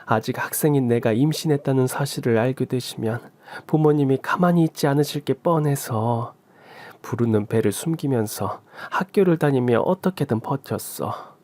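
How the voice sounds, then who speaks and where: Man reading as regretful middle-aged mother, soft apologetic tone, dry close sound. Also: mid-range voice, normal speed, no echo, lightly acted. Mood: confiding. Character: remorseful birth mother, middle-aged woman.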